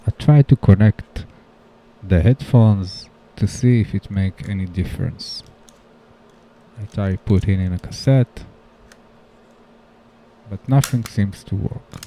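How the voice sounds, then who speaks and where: A man narrating a casual DIY tutorial voice-over, speaking haltingly in short phrases. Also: fairly low pitch, warm tone. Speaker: DIY video maker. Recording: dull lo-fi sound with background noise.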